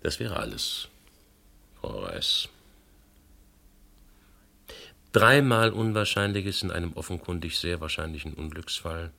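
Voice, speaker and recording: Radio crime drama inspector: methodical and probing, gravelly voice with widely swinging intonation, pressing a suspect deliberately. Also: male voice, low voice, bright, forceful tone, full character voice. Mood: resigned, deliberate. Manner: deliberate, insistent, reasoning point by point. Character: shrewd, methodical police inspector confronting a murder suspect. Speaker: actor.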